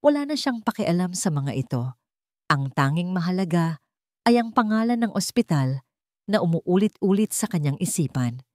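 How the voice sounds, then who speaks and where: A woman's voice: fairly low pitch, very animated, moderate pace, darkish tone, no echo, studio quality. A woman reading a dramatic scripted story as its narrator.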